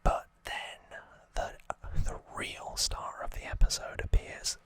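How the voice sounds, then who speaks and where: An ASMR host whispering a rambling recap in a breathy, halting voice, correcting slips along the way, close to the microphone. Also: fairly bright tone, dry recording. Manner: hesitant, halting phrasing with self-corrections.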